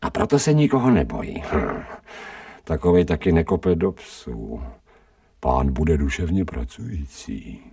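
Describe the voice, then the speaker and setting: Very deep, gravelly, bitter stray dog. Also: male voice, big pitch swings, dark tone, distant mic, full character voice. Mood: appraising. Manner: colloquial, sardonic, self-pitying grumbling. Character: bitter, streetwise, scrawny male stray dog. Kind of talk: radio drama monologue. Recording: 1990 radio drama, off-mic.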